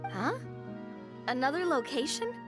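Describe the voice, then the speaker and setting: Upbeat, high-voiced young girl. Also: very animated, a little slow, music in the background. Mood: enthusiastic.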